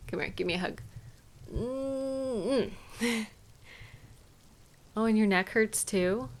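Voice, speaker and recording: A woman playing a caring, gently chiding partner in an intimate audio roleplay, speaking soothingly to a sweetheart. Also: mid-range voice. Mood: affectionate, sympathetic. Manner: tender, soothing, gently questioning and unhurried. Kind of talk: intimate scripted audio roleplay addressed to the listener.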